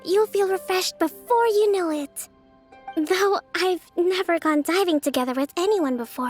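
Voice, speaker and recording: Young priestess strategist, very high girlish pitch, gentle, introverted, formal, soft-spoken, video game character, faint music behind. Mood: cheerful, reassuring.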